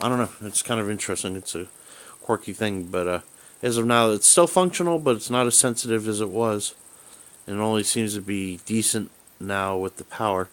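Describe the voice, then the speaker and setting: A man talking casually and unscripted about a gadget, halting and rambling as he often trails off. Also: low-pitched. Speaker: video creator reviewing radio gear. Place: small room indoors at home. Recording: hissy home video with background noise.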